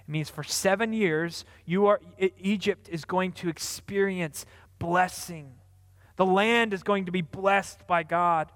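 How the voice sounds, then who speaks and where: A man with a high voice retells a Bible story in a casual, chatty preaching style. His telling is animated, and the sound hangs in a long hall reverb.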